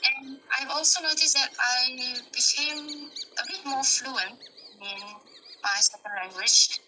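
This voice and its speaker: Slow, bright-voiced hesitant woman. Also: high voice, a little hiss or hum, low-quality recording. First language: Dusun. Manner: hesitant, searching for words, restarts. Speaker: interviewee. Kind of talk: answering interview questions.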